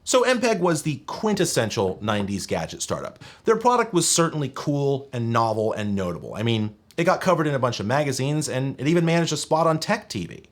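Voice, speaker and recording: A man's voice: medium pitch, sing-song, brisk, few pauses. A man hosting a scripted tech history video essay, with wry, opinionated, emphatic phrasing. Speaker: video essay host and narrator.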